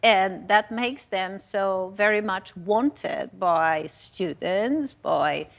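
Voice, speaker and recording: Woman, faculty panelist, creaky voice, measured, cautionary. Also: medium pitch. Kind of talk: panel discussion.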